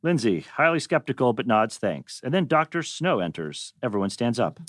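Male narrator, screenplay stage directions, table read, mid-range pitch, dry close sound. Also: sing-song, normal speed, no echo.

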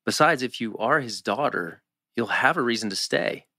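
A man's voice: medium pitch, animated, moderate pace, sharp tone, no echo, clean studio sound. A man playing an earnest, supportive, understated teenage boy in a TV teen drama table read. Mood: earnest, concerned.

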